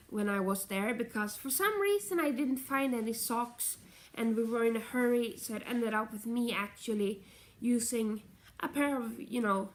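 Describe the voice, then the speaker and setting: Chatty nasal woman vlogging. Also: fairly high pitch. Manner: chatty, meandering, self-correcting. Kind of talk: casual rambling vlog chat.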